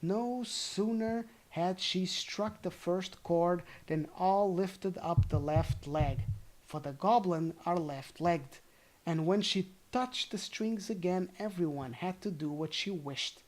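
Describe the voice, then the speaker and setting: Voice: medium pitch, takes it slowly, darkish tone, low-quality recording. A storyteller narrating a fairy tale informally, playfully correcting themself and dropping in casual asides. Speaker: storyteller reading aloud.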